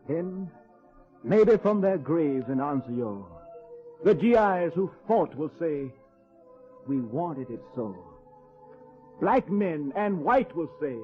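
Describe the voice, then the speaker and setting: Earnest American everyman, high-pitched, folksy dialect, rhythmic theatrical recitation, old-time radio drama, dull recording over music. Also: heavily acted. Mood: rising jubilant hope.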